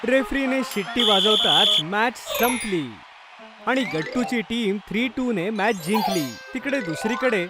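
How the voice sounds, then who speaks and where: A man narrating a scripted children's cartoon story as its storyteller. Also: high voice. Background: background music.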